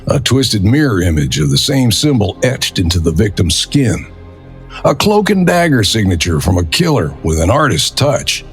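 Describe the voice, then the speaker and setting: A man narrating a detective audio drama in a brooding, dramatic storytelling manner. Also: mid-range voice. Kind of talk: scripted noir narration.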